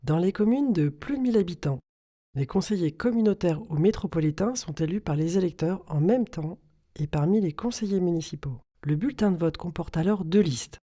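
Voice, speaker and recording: A woman reading a scripted informational narration as an explainer, in a clear, didactic manner. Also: on the low side, animated, a little fast, warm tone.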